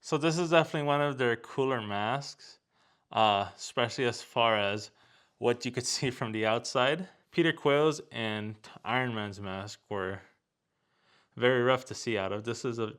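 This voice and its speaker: A man's voice: mid-range voice, fairly bright tone. A man chatting casually as he hosts an unboxing and product review.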